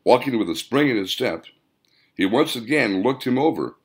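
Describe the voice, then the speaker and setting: A man reading scripted audiobook narration as the author, in measured, deliberate phrases. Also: medium pitch, expressive, breathy edge.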